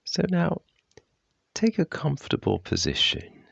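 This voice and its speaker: A man guiding a body scan meditation with gentle, unhurried, measured phrasing and long pauses. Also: on the high side, wildly swooping pitch, gravelly, dry recording, highs cut off. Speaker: meditation guide.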